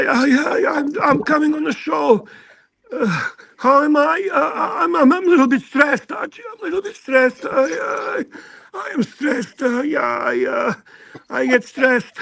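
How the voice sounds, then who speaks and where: A man with a Serbian accent voicing a stressed, jittery male snake who stammers and shouts as if close to tears. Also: extremely high pitch, creaky, no room sound, voice pushed up, full character voice. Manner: stammering, shouty, near-crying.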